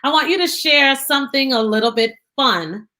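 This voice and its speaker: A woman's voice: high-pitched, normal speed, pushed and bright. A woman hosting a live broadcast, upbeat and addressing the audience directly. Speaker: host. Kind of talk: casual live broadcast chat.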